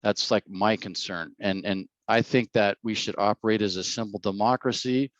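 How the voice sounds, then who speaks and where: A man's voice: fairly low pitch, steady tone, moderate pace, dry recording, muffled recording. A middle-aged man, a mayoral candidate answering interview questions in a blunt, rambling way and repeating his words.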